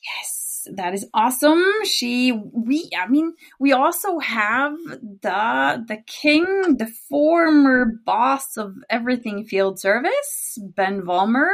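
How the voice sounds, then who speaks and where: A woman's voice: fairly high pitch, animated, creaky. A Norwegian woman with a Norwegian accent, co-hosting a podcast in casual chat, giggly and talkative. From Norway. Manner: chatty and giggly, talks fast. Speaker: podcast co-host.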